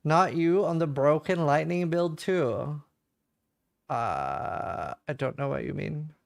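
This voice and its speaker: A man's voice: a little high, lively intonation, slow pace, creaky, dry recording, studio quality. A man from Norway, casually chatting and thinking aloud while he streams a game and answers chat questions.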